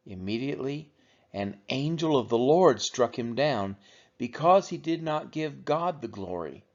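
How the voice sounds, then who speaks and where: A man reading the Bible aloud as a narrator for a church read-along. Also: medium pitch. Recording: dull, lo-fi sound.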